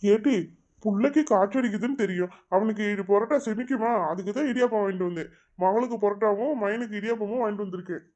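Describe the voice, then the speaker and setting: A woman voicing a kind, indulgent father who speaks calmly and teases gently. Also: mid-range voice, fast talker, natural voice. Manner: calm, gently teasing. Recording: dull lo-fi sound.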